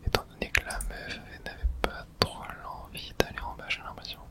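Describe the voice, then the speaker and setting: A gamer gives ASMR let's play commentary entirely in a breathy whisper. The sound is bright and airy, with no real voicing. The delivery is informal, muttered reactions to the game with the odd mild swear word. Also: fairly quick. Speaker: gamer streaming playthrough for viewers.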